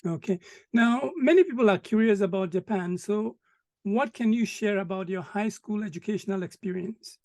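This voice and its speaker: A man hosting an interview, asking short questions calmly and acknowledging answers with frequent murmurs. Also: sits high, moderate pace, no echo. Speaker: interview host.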